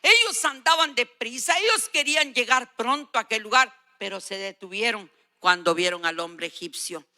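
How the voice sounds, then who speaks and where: Older lady preacher giving a sermon, really bright intense voice, emphatic, says stuff twice to drive it home. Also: fairly high pitch, animated, moderate pace, few pauses. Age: 60s or older. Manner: emphatic, exhorting, repeats phrases for emphasis. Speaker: guest preacher.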